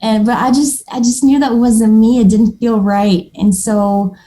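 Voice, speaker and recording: A Moldovan-born woman, a native speaker of Moldovan and Russian, chatting as an upbeat interview guest. Her voice sits a bit high with a mellow, dark tone. She often restarts her sentences and laughs lightly. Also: steady tone, a little slow, poor audio. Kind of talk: casual interview.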